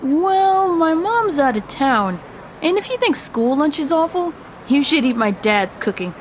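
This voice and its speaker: A woman's voice: sits high, very animated, unhurried, slight background noise, rough recording. A woman playing an earnest, kind, jittery middle school boy in an audio drama, with hesitant, slangy phrasing. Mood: chatty.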